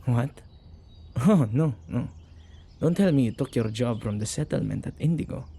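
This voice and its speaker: A husky, low-lit male witch acted by a man talks intimately to one listener in an ASMR roleplay. His gravelly voice is dark and mellow, with hesitant pauses and teasing asides. He comes across as a caring, excitable keeper of spirits. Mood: troubled, grave. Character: possessive male witch who befriends ghosts, excitable and caring.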